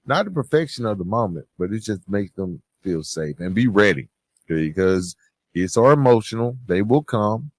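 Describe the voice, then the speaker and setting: A man hosting a podcast, giving motivational advice in an earnest, conversational, gently preaching way with emphatic pauses. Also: on the low side, no echo. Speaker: podcast host and author.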